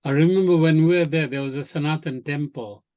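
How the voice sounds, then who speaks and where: A man from Malawi, a member of a study group, chatting in a relaxed way with short agreeing replies. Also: on the high side, a little fast. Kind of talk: casual discussion. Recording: dull lo-fi recording.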